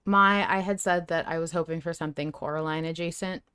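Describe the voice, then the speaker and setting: A woman co-hosting a podcast in casual fan discussion, animated and exclamatory, swearing freely. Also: deep voice, brisk, smooth. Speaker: podcast co-host.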